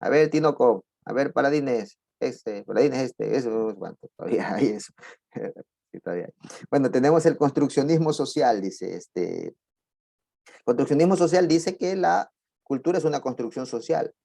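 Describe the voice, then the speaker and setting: A man's voice: mid-range voice, lively intonation, normal speed, creaky, warm tone, dry recording, clean studio sound. A middle-aged man, giving a conversational university lecture full of digressions and asides. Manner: digressive, chatty, with asides and laughs.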